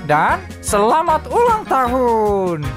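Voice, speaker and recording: A woman's voice: fairly high pitch, huge pitch swings, takes it slowly, full character voice. A woman voicing a vain, fussy male steam engine with a dramatic manner in a children's cartoon dub. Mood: relieved, pleased. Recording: background music under the voice.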